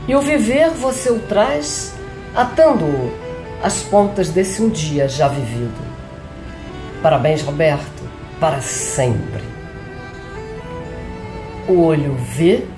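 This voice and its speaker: Slow-reading woman over music. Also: fairly low pitch. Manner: solemn, declamatory, measured phrasing. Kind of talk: reading a poetic tribute text aloud.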